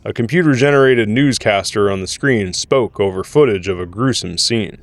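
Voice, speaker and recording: A man's voice: fairly low pitch, moderate pace, dry recording. A man narrating a scripted audio drama in the role of storyteller.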